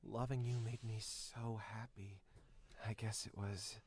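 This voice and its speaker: An actor playing a doting ghostly children's show host, speaking softly and apologetically in a breathy near-whisper. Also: male voice, fairly low pitch, little pitch movement, a little slow, close to an everyday voice. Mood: bashful.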